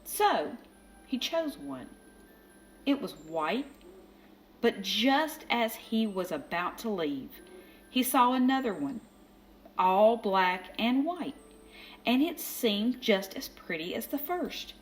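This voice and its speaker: A woman reading a children's picture book aloud as storyteller, expressive, with dramatic emphasis. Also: fairly high pitch, slow, pauses often, soft background music. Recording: faint background noise.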